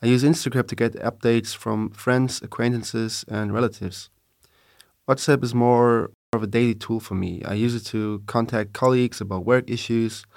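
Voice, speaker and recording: A young man in his twenties speaks with a slightly low voice. He talks in a steady, reflective way about himself as a student co-host on a podcast. The sound is dry and close. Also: no echo. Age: 20s. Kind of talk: casual podcast discussion.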